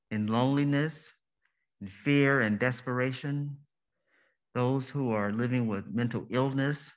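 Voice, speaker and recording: A man leading a congregation in solemn prayer as a minister, with deliberate, measured phrasing and pauses. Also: mid-range voice.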